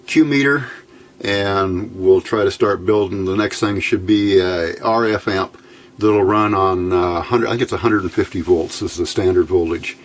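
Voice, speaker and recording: A man's voice: deep voice, normal speed, slight background noise. An American man giving an informal technical tutorial as a hobbyist host, plainspoken and a little hesitant.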